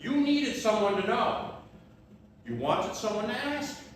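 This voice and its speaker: A man acting as a concerned, persistent friend in a stage play, high in pitch for a male voice. He is earnest and prodding, with some exasperation. He is heard from a distance in a very echoey room. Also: big pitch swings, a little slow. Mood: earnest, exasperated. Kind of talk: live one-act stage play acting. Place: tavern theater venue. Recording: echoey room, distant mic, faint noise.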